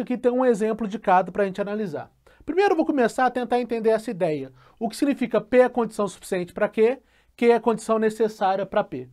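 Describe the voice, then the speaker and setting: A male math teacher patiently explaining a logic lesson, often checking in with a quick question and slowly repeating key phrases. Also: very high voice.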